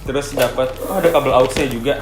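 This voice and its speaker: Excitable young Jakarta man, echoey room. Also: mid-range voice, quick pace. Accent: Jakarta Indonesian. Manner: excitable, colloquial. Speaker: vlog host. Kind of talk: casual unboxing chat. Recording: echoey room with background music.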